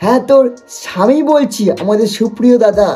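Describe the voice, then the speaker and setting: A person hosting a comic roast in a mocking, exaggerated, excitable way. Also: medium pitch, brisk. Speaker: prank video host and roaster. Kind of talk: teasing comic roast commentary. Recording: music behind the voice. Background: music.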